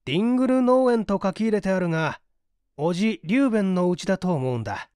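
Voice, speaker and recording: A man voicing a methodical gentleman detective in an audiobook, assured and analytical as he explains. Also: sits high, lively intonation, fairly quick, clean tone, no echo, clean studio sound. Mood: explaining. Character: confident, methodical gentleman detective in a mystery story.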